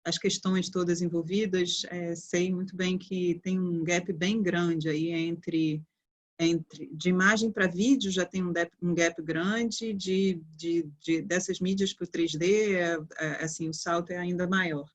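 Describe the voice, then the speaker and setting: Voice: medium pitch, fairly even intonation, slow pace. A participant in an online meeting who talks hesitantly, repeating words while searching for them.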